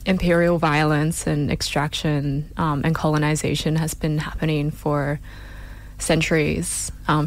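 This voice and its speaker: A woman hosting a community radio show, speaking in a measured, earnest way with a few fillers. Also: deep voice. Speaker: radio host.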